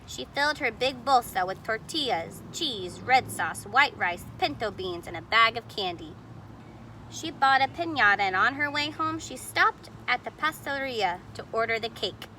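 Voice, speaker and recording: A woman reads a children's picture book aloud in a fairly high, very animated voice. She is expressive and explanatory, pointing things out for young listeners. There is faint noise behind her. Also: normal speed, poor audio. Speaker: storybook reader.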